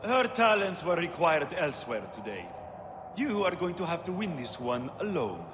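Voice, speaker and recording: Game villain, male: imperious arena boss, condescending and theatrical, swooping higher-set delivery, reverberant hall. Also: sing-song, moderate pace, background noise. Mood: menacing, dismissive. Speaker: arena boss and fight announcer. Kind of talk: video game cutscene acting.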